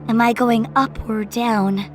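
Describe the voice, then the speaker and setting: A woman narrator plays a newly dead young tenant in a ghost story. Her voice is raised and plaintive, halting with disbelief as she pleads. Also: fairly high pitch, fairly even intonation, music in the background, pitched above the performer's own voice. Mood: anxious, pleading. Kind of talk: dramatised audiobook dialogue.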